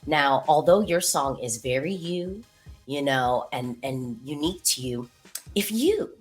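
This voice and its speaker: An American woman interviewing a guest in a casual, chatty way, her questions full of fillers. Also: low voice. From United States.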